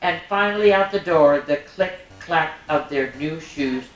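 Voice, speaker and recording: A woman's voice: sits low, a little slow. A woman hosting a children's storytime, reading a picture book aloud and adding homely, chatty asides for the young listeners. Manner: homely, chatty, exclaims and points things out to children. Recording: echoey room with background music.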